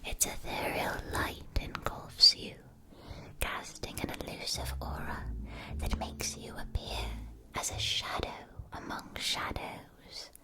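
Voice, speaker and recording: A woman softly whispering a scripted ASMR roleplay as a shopkeeper, breathy and deliberate, with careful enunciation. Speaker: ASMR roleplayer as a witch school supply shopkeeper.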